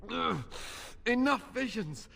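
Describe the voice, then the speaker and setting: An exhausted, defiant young templar captive for a fantasy game. His anguished voice runs high and swings widely, with slow, halting delivery. It rings in a long reverb with music behind. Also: animated, vocal fry, sharp tone, heavily acted. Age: young adult. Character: tormented, exhausted young male templar prisoner, desperate and defiant. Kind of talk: fantasy video game voice acting.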